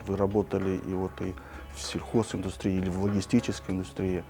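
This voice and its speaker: A man's voice: deep voice, level tone, fast talker, music in the background. A man hosting an interview, speaking in a formal, announcer-like way. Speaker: interview host.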